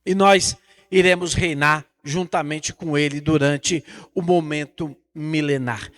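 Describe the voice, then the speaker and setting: A man's voice: on the high side, fairly even intonation, slow pace, a bit bright. A Brazilian man preaching a Bible lesson in an emphatic, didactic way, repeating phrases and posing rhetorical questions. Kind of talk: Bible teaching sermon.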